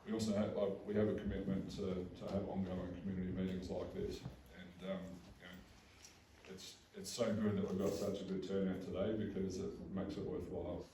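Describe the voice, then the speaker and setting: An Australian man with a booming voice presents at a community meeting, talking haltingly with many fillers and restarts. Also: little pitch movement, warm tone, slight background noise. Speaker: mining company representative. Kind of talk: community information session presentation. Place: public meeting hall. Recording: roomy hall recorded from a distance.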